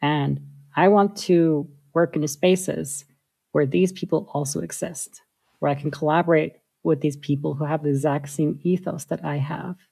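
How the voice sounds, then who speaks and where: Woman, interview guest, breathy rasp, rambling thoughtful talk. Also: low voice, warm tone. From United States. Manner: thoughtful, many filler likes. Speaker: guest, software engineer.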